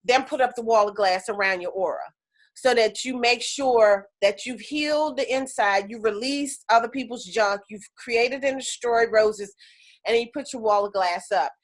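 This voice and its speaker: A woman's voice: a little high, normal speed. Chatty woman teaching on a video call. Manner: chatty and animated, many fillers. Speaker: energy healing teacher. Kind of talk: casual live class on a video call.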